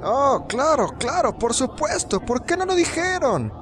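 A man's voice: very high-pitched, sing-song, measured pace, a bit bright, full character voice. A man with a Latin American Spanish accent voicing an eccentric, chatty museum owner in a game dub, effusive and rambling. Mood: eager, rambling. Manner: effusive, rambling, stammering repeats. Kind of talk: video game fan-dub acting.

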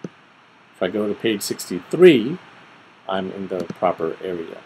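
Methodical man narrating, clear background noise. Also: low voice, very animated, a little mellow, low-quality recording. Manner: methodical, step-by-step explaining with pauses. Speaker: tutorial presenter. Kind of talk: informal screen-recorded software walkthrough, thinking aloud. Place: small room at home, indoors.

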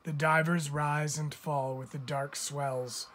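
A man reading a children's picture book aloud like a teacher, with expressive emphasis. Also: a little high, slow. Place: indoors, book filmed from above.

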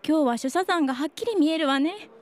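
A woman's voice: high voice, lively intonation, quick pace. A woman playing a playful, shameless schoolteacher in a comedic radio drama, joking with a straight face. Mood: breezy, joking. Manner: teasing, straight-faced joking. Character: playful, shameless female homeroom teacher.

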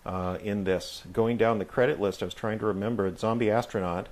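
American man, middle-aged, low voice, reflective, halting delivery, casual interview chat. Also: steady tone. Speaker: guest, audio drama actor.

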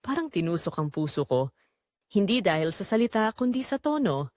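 A woman's voice: medium pitch, sing-song, normal speed, a little mellow, no echo, studio quality. A woman with a Filipino accent narrating a scripted first-person drama as an earnest young caregiver confessing her inner turmoil.

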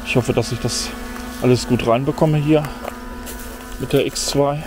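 A man's voice: mid-range voice, fairly even intonation, a little slow. A middle-aged man giving casual running commentary to himself while testing a drone outdoors, muttering mildly disappointed remarks. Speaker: drone vlogger. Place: outdoors in a forest. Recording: outdoor recording with audible insect noise. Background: insects buzzing and faint outdoor ambience.

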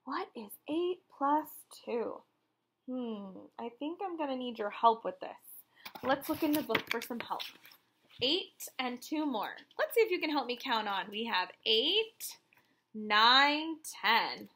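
Female primary teacher for a children's read-aloud: bright, high voice, encouraging and sing-song. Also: animated, a little slow.

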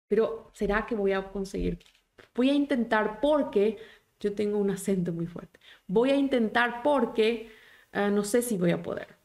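Lively woman teaching. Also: mid-range voice. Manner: animated, gesturing, encouraging, asks the viewers many questions. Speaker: German teacher. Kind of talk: live online class. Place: small home office indoors.

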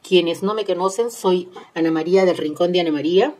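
A woman's voice: fairly low pitch, brisk, a little mellow. A woman hosting an informal step-by-step craft tutorial, instructing with repeated phrases and tag questions. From Peru. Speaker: host of a crochet tutorial channel.

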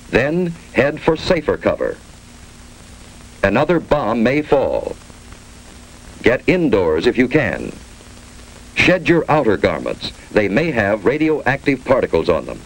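A man with an American accent narrating a 1950s instructional film in clipped, authoritative instructions. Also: medium pitch. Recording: noisy old 1950s film soundtrack.

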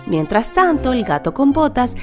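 Lively high-voiced woman telling fairy tales. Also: normal speed, over music. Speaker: storyteller. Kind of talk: scripted children's fairy-tale narration.

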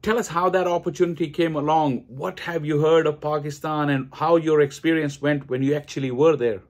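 Man, middle-aged, interview host, somewhat high pitch, formal, polite. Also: steady tone, a little fast. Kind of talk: interview hosting. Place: indoors, room with curtains.

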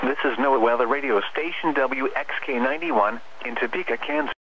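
A man reading a scripted emergency alert as a weather radio announcer, formal, measured and impersonal, over the radio. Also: medium pitch, very animated, creaky, muffled recording. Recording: noisy weather radio broadcast.

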